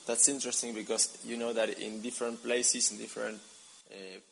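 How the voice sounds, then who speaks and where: A man interviewing a guest, halting as he speaks, repeating words and saying "eh" between phrases. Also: medium pitch, little pitch movement, vocal fry, a little hiss or hum, rough recording. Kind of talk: interview questions.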